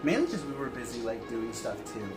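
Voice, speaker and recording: A man's voice: medium pitch, very animated, brisk, reverberant, loud music behind the voice. A man co-hosting a show, chatting casually, laughing often and reading long viewer letters aloud. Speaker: co-host reading viewer answers.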